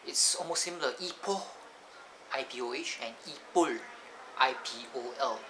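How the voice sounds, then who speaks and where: A man with a Malaysian English accent rambling through a casual vlog, often correcting himself and drifting off topic, recorded on a camcorder's built-in microphone that makes his voice sound thin and lacking bass. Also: moderate pace. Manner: rambling, digressive, self-correcting. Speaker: vlogger. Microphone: built-in camcorder mic. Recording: camcorder audio with clear background noise.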